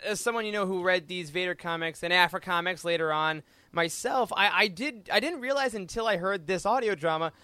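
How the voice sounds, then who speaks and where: A man's voice: high-pitched, a little fast, hardly any pauses, pushed and bright, smooth, no echo. A man co-hosting a podcast in casual conversation. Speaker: podcast co-host. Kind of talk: casual chat.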